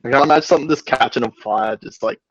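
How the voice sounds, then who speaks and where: A man's voice: mid-range voice, rapid-fire, dry recording. A young man in casual group chat, slangy and enthusiastic. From Australia. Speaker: band member on a podcast. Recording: slightly dull, muffled audio.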